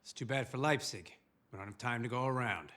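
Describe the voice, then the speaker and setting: An actor playing a resolute American general in a military game cutscene, terse and matter-of-fact. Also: male voice, mid-range voice, brisk, fairly bright tone, close to an everyday voice. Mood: determined. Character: gruff, resolute male American general leading his troops.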